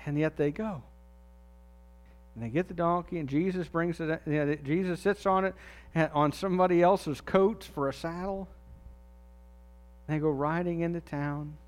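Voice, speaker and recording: A man preaching a sermon in a conversational way, dropping low and soft on key phrases. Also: normal speed, long pauses. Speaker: pastor.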